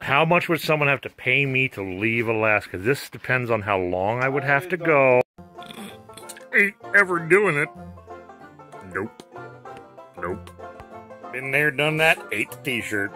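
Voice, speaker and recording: A man's voice: medium pitch, big pitch swings, a little slow, long pauses, sharp tone, soft background music. A middle-aged man chatting casually as host of a homestead vlog, cooking outdoors under a tarp and talking upbeat with his guests. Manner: upbeat, chatty, laughs easily. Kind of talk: casual chat while cooking.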